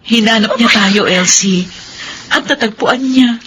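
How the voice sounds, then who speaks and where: A woman's voice: on the high side, measured pace, a bit bright. A woman playing a caring older sister in a Filipino radio drama, soothing and earnest, with a Filipino accent. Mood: glad. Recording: noisy radio drama broadcast.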